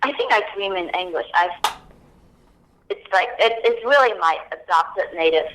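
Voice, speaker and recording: A woman's voice: on the low side, animated, moderate pace, a bit bright, slight background noise, low-quality recording. Woman guest, Cantonese native. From Hong Kong. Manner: thoughtful, explains step by step. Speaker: guest, copywriting expert. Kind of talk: podcast interview.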